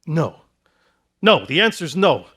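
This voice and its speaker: An earnest young married man, voiced by a man in a radio play. His husky voice sits high and swings widely, and he stammers whenever he gets flustered. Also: very animated, slow, a bit bright, full character voice. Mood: indignant.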